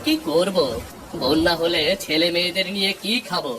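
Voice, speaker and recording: A man voicing a grumbling, fretful village husband and father in a cartoon, expressive and homely. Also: high voice, moderate pace, background noise, natural voice. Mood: worried. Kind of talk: Bengali moral-story cartoon voice acting.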